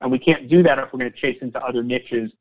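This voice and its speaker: A man's voice: mid-range voice, fairly even intonation, quick pace. A man speaking as a company executive on an investor call, answering questions in semi-prepared remarks and repeating phrases for emphasis. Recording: dull, band-limited conference call audio.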